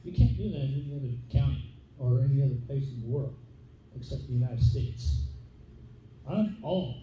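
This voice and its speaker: A man born in Spain, a county sheriff, answering questions from the public at a community meeting in a plain-spoken, halting way full of fillers and restarts. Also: mid-range voice, animated, slight background noise. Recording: distant muffled mic in echoey room.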